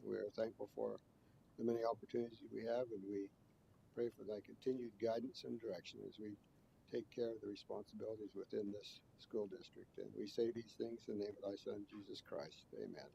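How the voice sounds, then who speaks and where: A man on a school board leading an opening prayer, slow, halting and reverent. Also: fairly low pitch, steady tone, creaky, dark tone, a little hiss or hum. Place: conference room. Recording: dull lo-fi recording.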